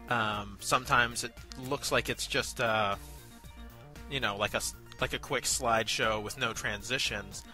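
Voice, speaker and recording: A man co-hosting a review show, giving conversational commentary in a measured, polite, somewhat hesitant manner. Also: mid-range voice, steady tone, pushed and bright. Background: background music.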